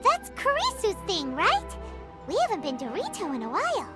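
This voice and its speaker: Squeaky, tiny childlike fairy sidekick. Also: female voice, wildly swooping pitch, normal speed, over loud music, heavily acted. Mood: curious, puzzled. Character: tiny, childlike, chatty, inquisitive fairy companion. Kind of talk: scripted video game dialogue.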